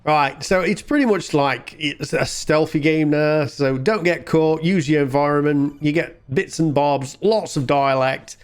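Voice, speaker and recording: A man's voice: on the high side, measured pace, hardly any pauses, clear, resonant tone. A man giving casual, colloquial, self-deprecating commentary while playing a video game. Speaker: gaming video host. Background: video game music.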